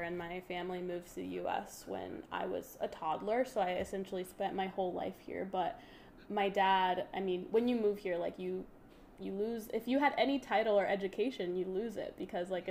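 A woman's voice: fairly low pitch, creaky, a little mellow, slight background noise. Earnest, chatty woman host. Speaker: podcast host. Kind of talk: casual interview conversation.

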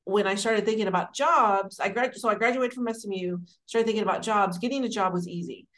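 A woman's voice: mid-range voice, a little fast. Chatty woman from Dallas telling her story. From Dallas, Texas. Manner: warm, conversational, rambling with fillers. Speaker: guest, city administrator. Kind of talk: interview storytelling.

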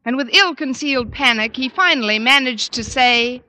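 An American woman playing a wry, quick-witted schoolteacher with a dry, sardonic delivery in 1950s radio sitcom style. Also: sits high, bright, forceful tone, slight background noise, poor audio. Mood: wry narration. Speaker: lead actress and narrator.

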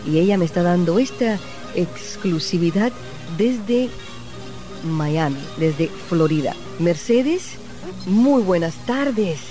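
A woman's voice: on the low side, lively intonation, takes it slowly, mellow, soft background music. A woman hosting a radio program, narrating a group's history and interviewing a guest with open enthusiasm and admiration. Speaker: radio show host.

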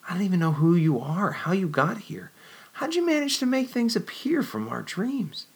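A man reading aloud, voicing an excitable, dreamy young woman with a high, breathless, stammering voice. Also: normal speed. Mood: wonderstruck.

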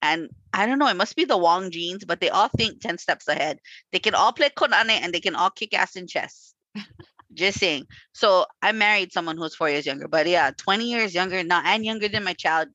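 A woman's voice: fairly low pitch, a little fast, no room sound, poor audio. Laughing, outspoken woman in her forties. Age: 40s. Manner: laughs often, animated and frank with casual swearing. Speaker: podcast co-host. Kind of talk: casual chat.

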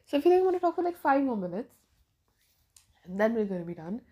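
A nineteen-year-old woman from India talks through her own podcast episode, circling back and restarting her thoughts as she goes. Her voice sits a little high but has a mellow, warm tone. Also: big pitch swings, fast. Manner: rambling, thinking aloud with many fillers and restarts. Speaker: podcast host. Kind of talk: casual solo podcast monologue.

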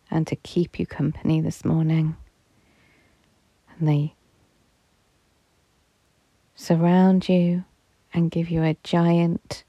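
A woman guiding a visualization meditation in a soft, soothing, unhurried manner, at times dropping almost to a whisper. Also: low voice, no room sound. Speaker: meditation guide. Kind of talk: guided meditation narration.

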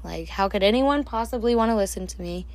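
A woman hosting a solo podcast alone at night, talking slowly and calmly into a microphone in a soft, soothing voice with quiet laughs. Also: medium pitch, dry recording. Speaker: podcast host. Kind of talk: solo reflective podcast monologue. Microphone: handheld or desk microphone, alone at night.

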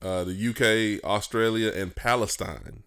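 A man's voice: on the low side, steady tone, unhurried, fairly bright tone, clear, resonant tone. A man co-hosting a podcast, talking loosely and rambling, with plenty of swearing. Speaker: podcast co-host. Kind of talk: casual banter.

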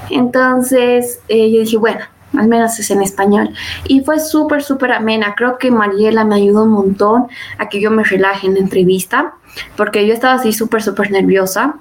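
A woman's voice: a little high, moderate pace. A young woman, a podcast guest, chatting casually and retelling events with many fillers and quoted asides. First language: Spanish. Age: young adult. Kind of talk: casual interview storytelling.

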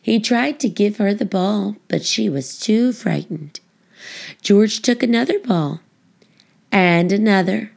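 Female storybook narrator, unhurried pace, expressive deliberate delivery, clean unforced voice. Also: medium pitch, vocal fry. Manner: expressive, deliberate phrasing for young children. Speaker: children's book reader.

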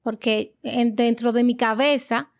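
A woman's voice: fairly high pitch, fairly even intonation, measured pace, vocal fry. A woman from the Dominican Republic with a Dominican Spanish accent, speaking as a podcast guest in a frank, reflective conversation. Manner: reflective, frank about struggles. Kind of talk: casual conversation.

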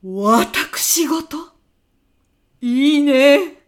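A woman's voice: high voice, animated, drawn-out words, full character voice. A woman playing a whimsical, gossip-hungry female personnel manager in a comedy radio drama, with a melodramatic delivery. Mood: eager, delighted. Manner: melodramatic, refers to herself by surname.

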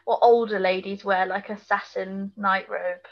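A slightly lo-fi recording of a woman chatting as a podcast co-host. She speaks at an average pitch, informally, throwing in blunt reactions and questions. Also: fairly even intonation, measured pace. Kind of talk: casual, reactive chat about a TV episode.

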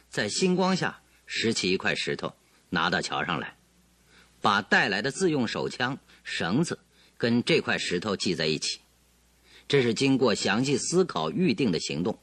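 An assured detective with animated, dry studio delivery. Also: male voice, lowish voice, normal speed, no room sound. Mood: assured, explaining. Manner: expository, assured, theatrical. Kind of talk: Chinese radio drama acting.

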